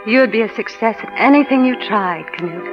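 An actress playing a gentle, devoted young sweetheart in 1940s radio style, wistful and patient, on a vintage broadcast recording. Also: female voice, on the high side, animated, a little fast. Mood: tender, reassuring. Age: young adult. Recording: dull vintage radio broadcast, music behind.

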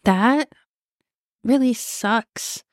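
Slow-talking young woman co-host. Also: a little high, no room sound, studio quality. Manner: relaxed, rambling with "like". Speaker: podcast co-host. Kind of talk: casual chat.

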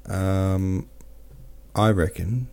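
A man's voice: sits low, extremely slow, vocal fry, darkish tone, clear, resonant tone. A man hosting a book podcast, thinking aloud about a chapter, reading out listener comments and then reading the novel aloud. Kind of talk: casual book-club talk and reading aloud.

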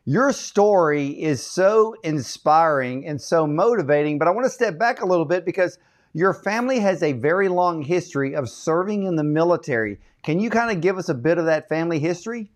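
A man's voice: fairly high pitch, animated, fairly quick. A man hosting a wellness podcast, introducing a guest with upbeat, emphatic delivery and religious phrasing. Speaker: podcast host. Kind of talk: interview hosting.

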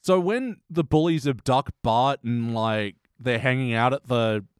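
Male host for a loose review podcast, middle pitch, close dry recording, sardonic and happy to swear. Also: unhurried, clear, resonant tone, no echo. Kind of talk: casual chat, comedic episode review.

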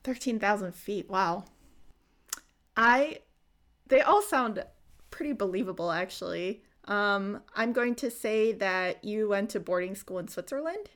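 A woman's voice: medium pitch, animated, a little slow, fairly bright tone. A young woman hosting a podcast interview, with an upbeat, friendly presenter manner. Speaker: podcast host.

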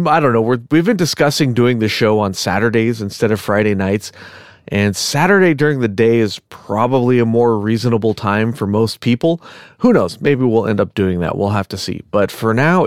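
A man hosting a podcast who is a little sick, telling a story casually with rambling asides and self-corrections, clearing his throat now and then. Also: medium pitch, dry recording, clean studio sound. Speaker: podcast host. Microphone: podcast microphone.

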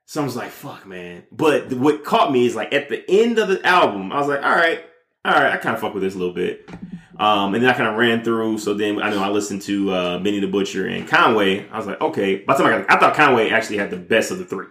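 A man's voice: fairly low pitch, quick pace. An American man casually talking music as a podcast host, telling it in slangy, profane language. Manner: slangy, profane, animated storytelling. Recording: roomy, echoey room.

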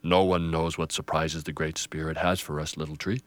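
A man voicing a wise old male pine elder, slow, solemn and booming. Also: level tone, dry recording.

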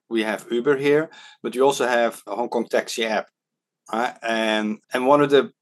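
A Dutch man, a startup advisor and podcast guest, talking casually in an interview, with lots of fillers and restarts. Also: medium pitch. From Netherlands. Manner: hesitant, many fillers and restarts. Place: Hong Kong.

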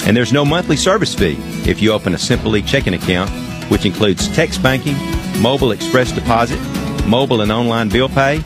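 A man reading a scripted radio commercial in a smooth, confident manner. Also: mid-range voice, expressive, fairly quick. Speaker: ad announcer. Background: music.

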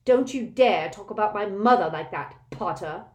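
A spoiled, arrogant teenage boy from a storybook, sneering and snarling loudly. His voice is pitched up and pushed hard, as a woman reader plays him. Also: measured pace, lightly acted.

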